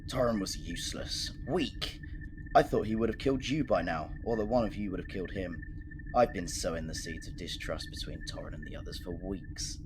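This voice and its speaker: A man's voice: fairly low pitch, expressive, a little fast, natural voice. A man acting a gloating, scheming villain in a sci-fi audio drama, a youthful-seeming schemer with a smug, mocking, theatrical delivery. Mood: cold contempt. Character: gloating scheming male villain who passes for twenty, really over sixty. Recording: noisy home-made recording.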